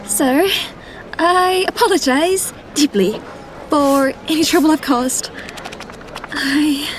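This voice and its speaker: Nervous, high-voiced young thief. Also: female voice, takes it slowly, faint music behind. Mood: flustered, anxious. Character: nervous, evasive young female thief on the run. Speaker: voice actor. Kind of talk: fantasy audio drama acting.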